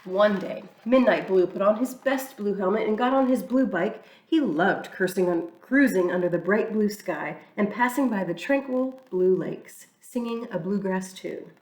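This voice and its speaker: A woman reading a children's picture book aloud in an expressive, storytelling way. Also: medium pitch, normal speed, hardly any pauses. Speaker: church reader. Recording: echoey room, mic far off.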